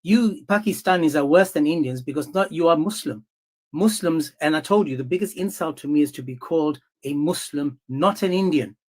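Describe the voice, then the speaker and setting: A man's voice: a little high, normal speed, a little mellow. A man hosting a heated live-stream debate, mocking and confrontational, often talking over his guest.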